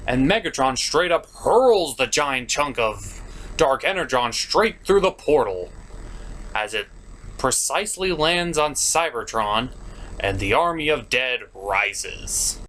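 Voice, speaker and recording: Animated man giving an exasperated comedic review. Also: medium pitch, takes it slowly, poor audio. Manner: exasperated, emphatic, swearing. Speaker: reviewer, host of the show. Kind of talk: scripted comedic review commentary with recap.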